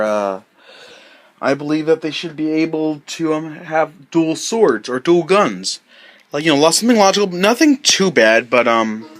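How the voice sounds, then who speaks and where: A young man who is sick, talking off the cuff to his device about his game ideas, halting with many fillers and self-corrections. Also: medium pitch. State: sick, voice a little off. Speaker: gaming vlogger. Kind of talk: rambling unscripted monologue. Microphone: handheld device mic.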